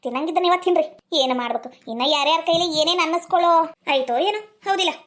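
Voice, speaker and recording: Aggrieved young wife played by a woman, very high voice, plaintive and resigned, North Karnataka accent, family drama. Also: very fast, a bit bright. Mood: hurt, resigned. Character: wounded young childless wife, bitterly resigned. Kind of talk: amateur family drama skit.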